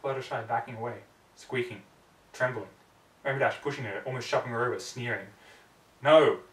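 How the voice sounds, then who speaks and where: A man's voice: mid-range voice, a little fast, fairly bright tone. A man narrating a story in a brooding, theatrical way, often in clipped fragments. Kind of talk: dramatic story reading. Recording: roomy echo, distant microphone.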